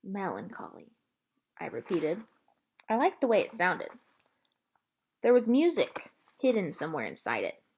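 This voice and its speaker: Expressive woman reading a children's storybook aloud. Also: medium pitch, moderate pace, long pauses, husky. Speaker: read-aloud narrator. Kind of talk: expressive children's book narration.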